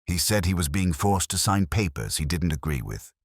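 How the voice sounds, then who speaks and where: A man reading a guarded, defensive female witness, deep-voiced. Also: very animated, normal speed, slightly rough, no room sound, studio quality, exaggerated voice. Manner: guarded, evasive, snappy. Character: defensive, reluctant, sharp-eyed female witness under questioning.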